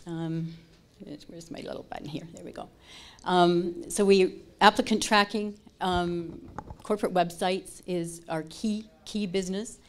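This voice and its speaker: Woman CEO presenting at a pitch event, low-pitched, conversational. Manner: chatty, self-interrupting asides. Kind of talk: business presentation. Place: conference room. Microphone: lapel mic.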